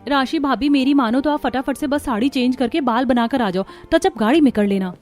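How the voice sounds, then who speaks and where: A woman's voice: sits high, a little fast, darkish tone, pitched above the performer's own voice, natural voice. A woman voicing an eager, chatty young woman in a cartoon story, pert and impatient. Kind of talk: Hindi cartoon story dialogue.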